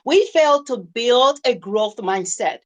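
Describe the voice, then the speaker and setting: Woman business coach, middle-aged, speaks English with slips; fairly high voice, emphatic and repetitive motivational delivery. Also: lively intonation, slow. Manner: earnest, emphatic, repeats phrases for stress; non-native English with small grammar slips. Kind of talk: motivational teaching talk.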